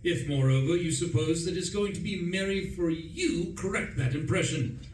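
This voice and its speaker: A man playing an excitable upper-class gentleman who narrates a radio play in a breezy, self-dramatizing way. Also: on the high side, moderate pace, lightly acted. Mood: indignant. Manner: breezy, self-dramatizing, indignant when crossed. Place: live performance in a room, distant mic. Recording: roomy, distant, faint noise.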